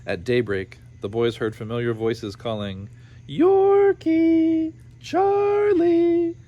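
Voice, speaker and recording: A man reading a storybook aloud with expressive narration. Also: fairly low pitch, moderate pace, highs cut off, a little hiss or hum. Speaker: storybook reader.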